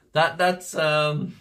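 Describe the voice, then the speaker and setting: A man's voice: on the high side, a bit bright. A Norwegian man in his forties with a Norwegian accent, chatting casually to his livestream viewers, rambling with frequent restarts and laughter. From Norway. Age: 40s. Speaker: livestream host.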